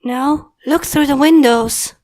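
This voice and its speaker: A high, slow-paced little female pony, played by a story reader. She sounds timid and frightened, pleading in a drawn-out way, in cartoon storybook style. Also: darkish tone.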